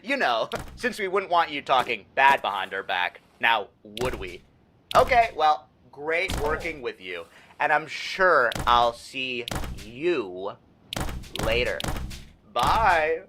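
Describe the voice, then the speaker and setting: A smug, animated creepy boss. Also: male voice, mid-range voice, takes it slowly, a little hiss or hum, exaggerated voice. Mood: smugly menacing. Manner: over-friendly, theatrical, passive-aggressive. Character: smug, creepy male corporate boss, falsely chummy and menacing. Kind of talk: video game character voice acting.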